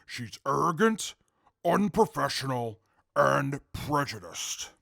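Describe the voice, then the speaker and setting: An actor playing a calm, articulate male super mutant who testifies in court in a formal, measured way with a gravelly voice. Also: mid-range voice, no echo, clean studio sound, heavily acted. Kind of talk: audio drama acting.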